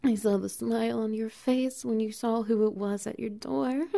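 A woman voicing a doting, dominant female bear-woman, whispering softly and teasing with breathy laughs in an intimate audio roleplay. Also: fairly high pitch, fairly even intonation, moderate pace. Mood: sultry, doting.

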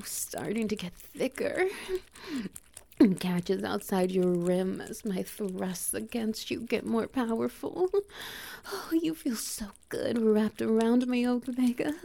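A woman voicing a dominant, doting adult male lover in an intimate audio roleplay, speaking in breathy whispers with soft laughs and steady praise. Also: a little high, exaggerated voice.

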